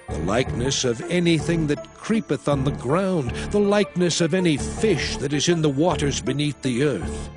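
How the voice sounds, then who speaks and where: An actor playing an aged male prophet and lawgiver, exhorting his people in a solemn, measured, declamatory manner in a dramatized scripture recording. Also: a little high. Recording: studio voice over a music score.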